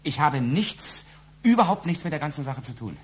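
A man acting in a German audio drama as a fearful, indignant family man under suspicion, halting and repeating his words. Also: fairly high pitch, very animated. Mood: flustered, defensive. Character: flustered, fearful, indignant male murder suspect and family man.

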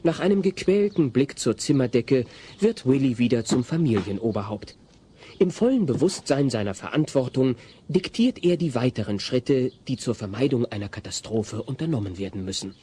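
An actor narrating a comic audio play as a wry, dramatic storyteller, reading scripted German prose. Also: male voice, medium pitch, animated, normal speed, warm tone, slightly rough. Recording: faint hiss, old cassette audio.

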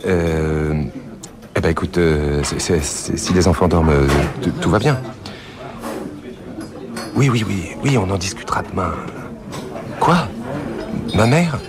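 A low-voiced businessman in a radio play, polite and anxious to please. He stammers haltingly amid clear crowd chatter. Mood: flustered. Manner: stammering, halting, repeating words. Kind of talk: radio drama acting. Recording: busy chatter of a crowded bar.

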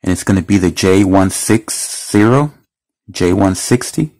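A man with a fairly low voice talks through a casual product demo. He explains each step plainly, as a reviewer showing a gadget by hand. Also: animated, unhurried, gravelly, a little mellow. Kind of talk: casual hands-on product demonstration. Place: indoors at a wooden table.

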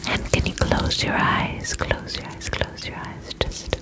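A woman speaks entirely in a soft, breathy whisper. Her delivery is very slow and gentle, with soothing little repetitions. It sounds like an ASMR spa roleplay, full of personal attention and quiet reassurance.

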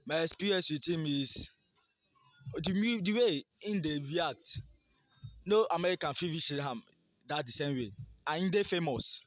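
A man's voice: a little high, lively intonation, measured pace, pauses often, fairly bright tone, dry recording. A young man with a Nigerian accent, answering a casual street interview in a rambling, boastful way. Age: young adult. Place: outdoors by a garden wall. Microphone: phone held near the mouth by interviewer.

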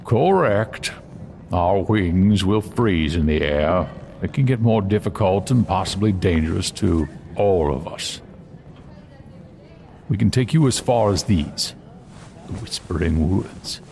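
A man playing a shrewd dragon counsellor in a fantasy audio drama, speaking in a deliberate, measured way with pauses. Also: sits low, animated, vocal fry, darkish tone, slight background noise, full character voice. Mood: cautioning.

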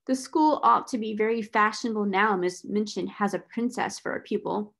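A woman voicing a haughty, bossy schoolgirl in a storybook, scornful and sharp-tongued. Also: mid-range voice, moderate pace, creaky. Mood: sarcastic.

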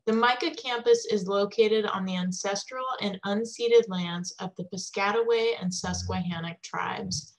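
A young woman speaks on a video call with a clear, composed, well-prepared delivery. Her pitch is middle range and steady, and she introduces her work in an orderly way. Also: rough recording. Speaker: assistant curator and design faculty. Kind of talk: webinar self-introduction.

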